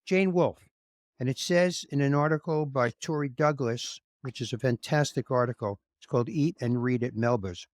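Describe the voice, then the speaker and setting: A man's voice: mid-range voice, moderate pace, dry recording, clean studio sound. A man from New York hosting a podcast interview, asking questions in a loose, rambling way. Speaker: podcast host. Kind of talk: casual interview.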